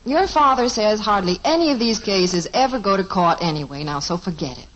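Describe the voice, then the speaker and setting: A woman's voice: mid-range voice, animated, a little fast, a little hiss or hum, lightly acted. An actress as a patient, soothing mother. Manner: soothing, sensible. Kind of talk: 1950s radio sitcom acting. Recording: dull old radio recording.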